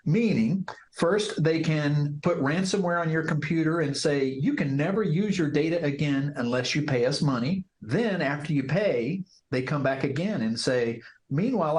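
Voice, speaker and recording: A male expert explaining deliberately in an interview clip. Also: fairly high pitch, animated. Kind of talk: interview soundbite.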